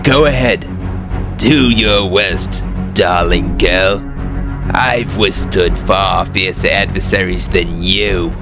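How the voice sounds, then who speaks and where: A man's voice: low voice, takes it slowly, music in the background. A man voicing a smug, decadent lord who taunts his enemies in a condescending drawl, in a fan dub. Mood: mocking.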